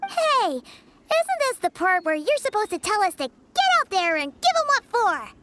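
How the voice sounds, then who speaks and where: A small childlike fairy from a video game, cheeky and excitable. The voice is piercingly high and squeaky, swooping animatedly up and down. Some noise sits behind it. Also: female voice, unhurried, exaggerated voice. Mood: indignant prompting. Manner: cute, exaggerated, speaks of herself in third person.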